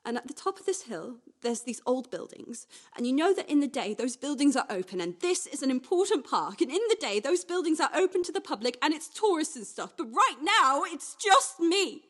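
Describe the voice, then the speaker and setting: A woman playing a restless, wistful young woman in a confessional radio play monologue, speaking naturally in halting, repetitive phrases. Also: high-pitched, sing-song. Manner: halting, repetitive, swinging from hushed to agitated.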